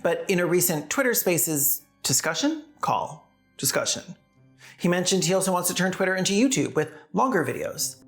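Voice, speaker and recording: A woman's voice: fairly low pitch, very animated, moderate pace, faint music behind. A woman narrating scripted video commentary in a sardonic way, with casual asides. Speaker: video essayist and host.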